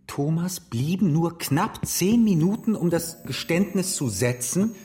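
An actor playing an earnest, methodical companion in a German historical radio drama, reasoning deliberately step by step. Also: male voice, on the high side, animated, dark tone. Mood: reasoning, puzzled. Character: monk's earnest, methodical male companion working through a crime.

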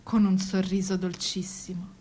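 An actress playing a sorrowful asylum inmate, reciting verse in a solemn, incantatory chorus for radio theatre. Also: female voice, medium pitch, level tone, moderate pace, mellow, slightly rough, close to an everyday voice. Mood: mournful, solemn.

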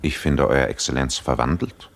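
An actor playing a grave, penetrating physician who speaks in measured, oratorical phrases in a radio drama. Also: male voice, a bass voice. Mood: solemn, portentous. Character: solemn, penetrating physician who reads faces. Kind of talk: theatrical radio drama acting.

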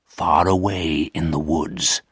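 A lonely old male ghost from a storybook, acted out by a man, weary and gentle. He speaks very low and slowly, with mournful formality and a husky grain. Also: fairly even intonation, no room sound, clean studio sound, exaggerated voice. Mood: wistful, solemn.

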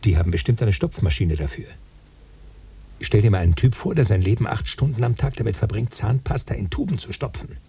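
An actor in a German radio play voicing a fussy, grumbling man who pedantically thinks aloud, his voice husky. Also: lowish voice, expressive, fairly quick, warm tone, far from the mic, heavily acted. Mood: grumbling musing.

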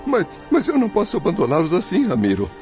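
A man's voice: sits high, huge pitch swings, fast talker, a little mellow. An actor playing a tormented, remorseful father in a radio drama, pleading in a melodramatic way. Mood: agitated, pleading. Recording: dull lo-fi audio under music.

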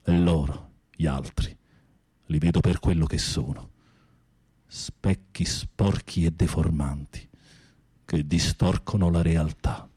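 An actor playing a tormented, fearful, lovesick former teacher in prison in a radio drama, husky and pleading, with a poetic, theatrical delivery. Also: male voice, extremely low pitch, steady tone, slow pace, long pauses, warm tone, no room sound, exaggerated voice. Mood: weary, melancholic. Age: middle-aged. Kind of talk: intimate radio drama acting.

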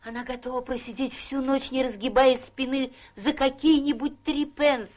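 A soft-hearted maidservant in a radio drama, acted by a woman. Her voice is clearly high, emotional and deferential, pleading with devoted respect. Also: fairly even intonation, normal speed, muffled recording, close to an everyday voice. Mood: meek pleading. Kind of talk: Russian radio drama stage acting.

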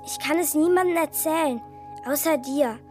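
Imaginative, confiding little girl voiced by a female performer, high childlike voice, radio play. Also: normal speed, music in the background, close to an everyday voice. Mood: secretive, confiding. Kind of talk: radio drama dialogue.

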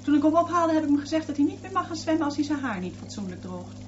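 A woman's voice: high voice, sing-song, fast talker, distant mic. A woman playing a strict, overprotective mother in a radio drama, curt and disapproving. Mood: defensive, stern. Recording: dull, echoey, noisy, off microphone.

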